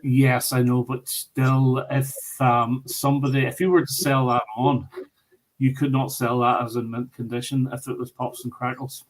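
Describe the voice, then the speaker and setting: A man's voice: medium pitch, little pitch movement, normal speed, poor audio. A man in a casual chat about record collecting, speaking in an opinionated, emphatic way.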